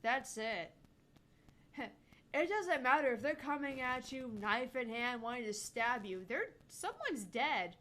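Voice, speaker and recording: A woman's voice: high voice, very animated, a little slow, pushed and bright. A woman co-hosting a casual gaming livestream with sardonic, sweary, teasing banter, recorded with background noise. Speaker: gaming channel co-host and narrator.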